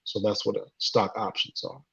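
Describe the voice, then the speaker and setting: A man answering interview questions in a casual way, pausing often with fillers. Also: sharp tone, muffled recording. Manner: hesitant, many uh and um fillers. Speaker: guest, trading community co-founder.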